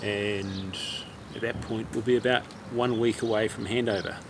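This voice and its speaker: A man's voice: on the low side, moderate pace. Chatty man vlogging outside, noisy street. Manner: relaxed, chatty, thinking aloud. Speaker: vlog host. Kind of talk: casual vlog chat. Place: outdoors by a harbour in a seaside town. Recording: loud outdoor noise.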